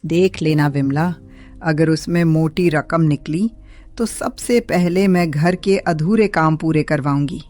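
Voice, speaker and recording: A woman's voice: deep voice, unhurried, a little mellow, soft background music. A woman playing a bossy, money-minded older village mother-in-law in a cartoon moral story, scolding and demanding.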